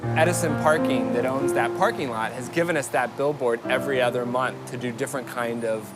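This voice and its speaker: An American man speaks informally as an interviewee. His pitch is middling, and music runs loudly behind his voice. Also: a little fast.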